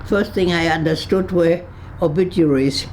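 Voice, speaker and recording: A woman over one hundred, from Berlin, recalling her past in an interview, halting and searching for words with frequent restarts, and laughing easily with dry humor. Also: lowish voice, a little mellow, a little hiss or hum. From Berlin, Germany. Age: 100s. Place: her home on a kibbutz.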